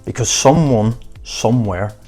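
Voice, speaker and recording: A man's voice: medium pitch, unhurried, dark tone, faint music behind. A man giving an earnest motivational talk to camera in short, emphatic phrases. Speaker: motivational vlogger.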